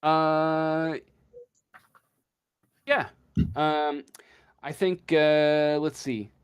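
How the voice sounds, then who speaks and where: A man improvising as game master in a tabletop game, speaking haltingly and tentatively as he searches for words. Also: on the high side, steady tone, clean tone.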